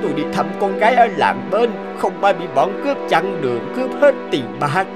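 An old cartoon beggar, humble and weary. He speaks as a grateful, pleading elder, with music clearly behind him. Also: sits high, sing-song, a little fast. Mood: pleading, weak. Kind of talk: cartoon dubbing.

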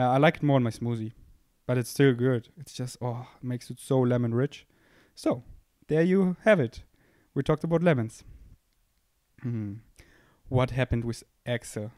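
A man's voice: mid-range voice, dark tone, no room sound. A young man hosting a podcast, rambling in a playful, self-mocking way as he thinks aloud, seated indoors and speaking into a handheld microphone held close. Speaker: podcast host. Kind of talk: casual rambling monologue. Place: indoor room, seated on a couch.

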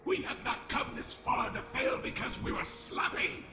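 An actor playing a cold, contemptuous robot overlord who commands slowly and with menace in a video game. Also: male voice, extremely high pitch, lively intonation, reverberant, over loud music. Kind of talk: video game villain acting.

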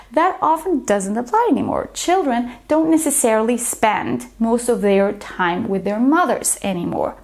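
Woman teacher explaining to camera, higher voice. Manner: earnest, explains step by step, restarts when telling anecdotes. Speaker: teacher and channel host. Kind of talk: educational talk to camera. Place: bright living room, indoors, small. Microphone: lapel mic.

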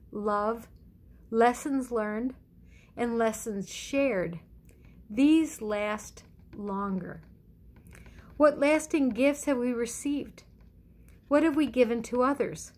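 A middle-aged woman pastor giving a conversational sermon at home in a measured, reflective way with deliberate pauses. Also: fairly high pitch. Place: indoors in a living room at home. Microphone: phone or webcam at arm's length.

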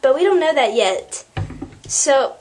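A woman's voice: high-pitched, expressive, slow, a little mellow. A teenage girl chatting casually to camera as an amateur video host, with a giggly manner. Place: small indoor room at home. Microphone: camera's built-in mic at arm's length. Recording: noisy home camera recording.

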